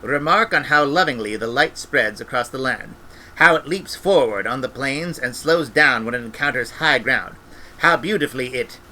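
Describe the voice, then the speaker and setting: Very bright-voiced man narrating a story. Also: medium pitch, normal speed, a little hiss or hum, low-quality recording. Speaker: audiobook reader, former radio presenter.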